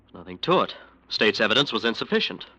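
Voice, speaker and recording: A self-justifying lawyer talks smoothly in a low, very bright voice. He turns defensive when he is pressed. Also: sing-song, quick pace, muffled recording, heavily acted. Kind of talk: 1940s radio drama acting.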